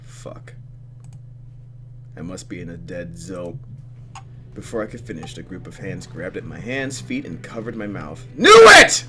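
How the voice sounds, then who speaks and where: A man hosting a gaming video, reading game text aloud and commenting with expressive, dramatic delivery. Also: deep voice, measured pace, pauses often, background noise. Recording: game music behind. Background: game music.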